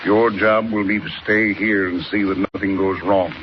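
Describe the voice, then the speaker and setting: Man acting a deep-voiced scheming professor villain. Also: steady tone, lightly acted. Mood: curt, commanding. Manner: commanding, measured, menacing. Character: cold, secretive male professor, a scheming saboteur villain. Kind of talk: 1940s radio serial acting. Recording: dull, noisy old radio recording.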